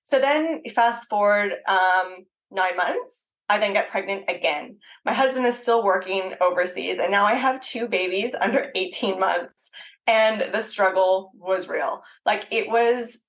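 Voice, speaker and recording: A woman's voice: mid-range voice, measured pace, a bit bright, poor audio. Chatty Canadian woman with an Australian tinge. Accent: Canadian with some Australian. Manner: chatty, frequent ums and likes, laughs easily. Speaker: guest, fitness coach. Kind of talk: casual conversational interview.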